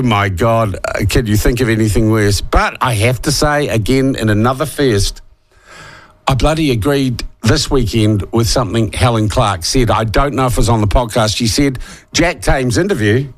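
A middle-aged man with a New Zealand accent, husky from a head cold, hosting a talk radio show in a sarcastic, opinionated monologue. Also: medium pitch. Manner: sarcastic, scornful asides.

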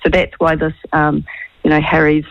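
Croaky New woman on a phone line. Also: low-pitched, completely flat delivery, no room sound. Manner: chatty, quick, many restarts. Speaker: political candidate calling in. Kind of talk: talkback phone call. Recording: dull, dry phone line.

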